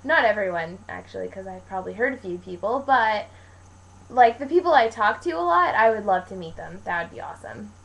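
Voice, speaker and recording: A young woman from Canada speaks in a medium-pitched voice. She chats casually as a vlogger, answering viewer questions in loose, colloquial, wandering sentences. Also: expressive, a little fast, vocal fry, fairly bright tone, rough recording. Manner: rambling, informal, colloquial with frequent "like". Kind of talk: casual chat to camera, Q&A vlog.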